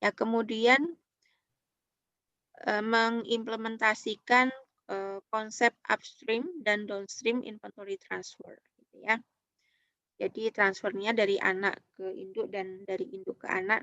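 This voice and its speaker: Female Indonesian lecturer, medium pitch, dry but dull lo-fi sound, halting explanatory delivery with frequent check-in tags. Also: fairly bright tone, no room sound. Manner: halting, often checks in with short tag words. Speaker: university lecturer. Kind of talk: online accounting lecture, explanatory.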